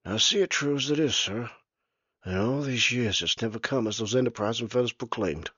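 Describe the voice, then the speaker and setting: A man's voice: mid-range voice, fairly quick, bright, forceful tone, breathy edge, no room sound, highs cut off, pitched above the performer's own voice. A man voicing an older, plainspoken male ferryman who is wary, with a folksy rural drawl and loose grammar. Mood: wistful, guarded.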